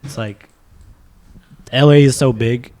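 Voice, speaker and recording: A man's voice: mid-range voice, steady tone, slow, darkish tone. A man from California speaks as a podcast guest in a mid-range voice. His talk is casual and conversational, laid out like he is explaining to a friend.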